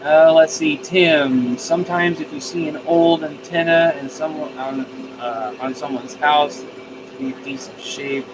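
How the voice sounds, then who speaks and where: A man's voice: on the high side, steady tone, unhurried, noisy background. A man chatting casually on a live stream, answering viewer comments and repeating phrases as he thinks aloud. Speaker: live streamer, radio hobbyist.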